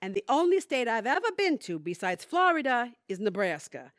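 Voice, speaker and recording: A high, very lively voice of an actress playing a wry, candid woman in a radio play. She is folksy and plain-spoken, a little hesitant, and recorded dry and close. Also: moderate pace, no room sound. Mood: nervous confessing. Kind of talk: audio theatre acting.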